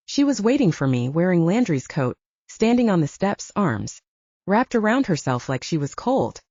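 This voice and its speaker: A woman narrates first-person fiction in a soft, dark tone with animated intonation. The sound is dry and slightly dull, like a close audiobook recording. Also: lowish voice, no echo.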